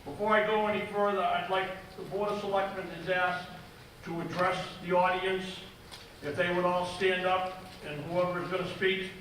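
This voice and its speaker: A man runs a town meeting in a measured, procedural voice that sits high and barely moves in pitch. He is picked up from a distance in a big, echoing auditorium. Steady background noise sits under him. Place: large auditorium. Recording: echoey hall, distant mic, background noise.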